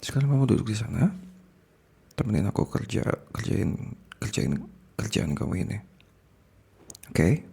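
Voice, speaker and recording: A man playing a possessive, smitten male boss in an intimate ASMR roleplay, speaking haltingly with an Indonesian accent in a breathy, gravelly voice that slips into whispers. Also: on the low side, big pitch swings, mellow, full character voice. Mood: resigned. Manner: halting, sighing, repeats words, slips into whispers.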